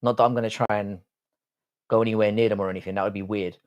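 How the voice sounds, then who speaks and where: A man's voice: fairly low pitch, steady tone, fast talker, dull audio. A man with a London accent, live streaming casually, answering chat in an informal, matey way and swearing freely. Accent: London English. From London, England.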